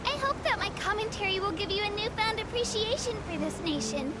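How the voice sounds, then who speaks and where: A very high-pitched, bubbly little creature addresses passengers as an eager tour guide. The delivery is lively and swooping, with a polite, presentational game-character polish. Loud vehicle noise and ambience run behind the voice. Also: female voice, fairly quick. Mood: cheerful, welcoming. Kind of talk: scripted video game character dialogue.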